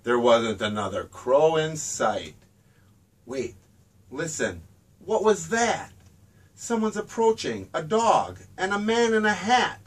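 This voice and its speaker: A man's voice: fairly high pitch, expressive, takes it slowly, far from the mic. A man reading his own rhyming children's book aloud in a sing-song storybook manner.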